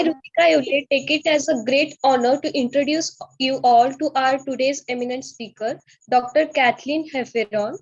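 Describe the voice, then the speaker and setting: Woman, high voice, formal read-aloud intro, muffled audio. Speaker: speaker introducer. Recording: dull lo-fi call audio.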